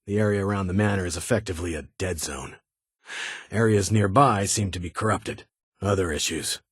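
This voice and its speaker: A man's voice: low voice, a bit bright, slightly rough, no echo, studio quality, heavily acted. A man playing a stern, guarded military security chief in a video game, with a clipped, authoritative and brusque manner. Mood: official reporting. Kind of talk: video game character dialogue.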